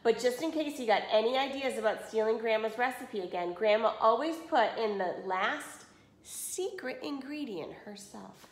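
A woman's voice: on the high side, animated, normal speed. Woman teacher in a roomy space. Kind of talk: read-aloud and casual lesson for children. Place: small indoor room at home. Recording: roomy home video recording.

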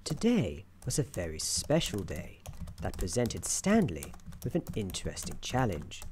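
A soft-spoken ASMR narrator of unclear sex telling a story in a gentle, deliberate, soothing way. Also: sits low, huge pitch swings. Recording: keyboard clicks and noise behind. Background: computer keyboard typing.

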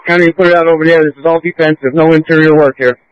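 A man's voice: a little high, steady tone, rapid-fire, smooth, dry recording. An American man giving terse fire command orders over a two-way radio. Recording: muffled, lo-fi two-way radio transmission.

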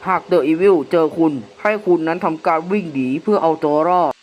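A man playing a solemn government announcer, formally reading a measured emergency warning for an analog horror broadcast. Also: on the high side, lo-fi sound, close to an everyday voice. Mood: solemn, official. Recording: clearly noisy hissing background.

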